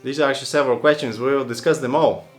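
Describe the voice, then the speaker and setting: Male musician host, casual Q&A, reflective and meandering, middle pitch, soft music bed. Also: steady tone, racing. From Bulgaria. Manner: thoughtful, rambling, many restarts and fillers. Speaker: oboist and channel host. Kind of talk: casual Q&A answering viewer questions.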